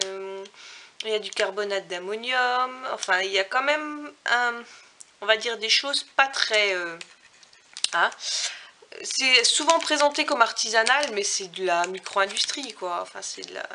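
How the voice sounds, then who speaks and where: A woman's voice: a little high, noisy background. A young woman from Alsace chatting casually to camera, reviewing food products with lots of fillers and self-corrections. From Alsace, France. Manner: reads out ingredient lists. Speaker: vlogger reviewing finished products.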